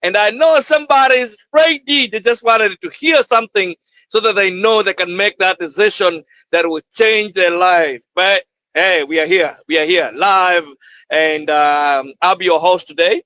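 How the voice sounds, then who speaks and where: A man's voice: high voice, moderate pace, bright, forceful tone, no room sound. A man, hosting a live online session with an exuberant, repetitive, preacher-like cadence. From Kenya. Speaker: host. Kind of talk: enthusiastic livestream hosting. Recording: dull lo-fi livestream audio.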